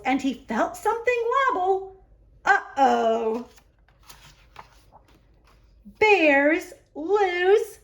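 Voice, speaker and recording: A woman reads a children's picture book aloud at a slow, measured pace. Her voice sits high and swings widely in pitch. She stretches key words expressively for young listeners. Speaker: library story hour reader.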